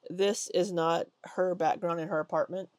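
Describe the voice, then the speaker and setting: A woman's voice: lowish voice, steady tone, moderate pace, a little mellow, dry recording. A woman with a stuffy nose giving chatty, critical reaction commentary over video clips, with lots of ums. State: congested. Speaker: commentary YouTuber.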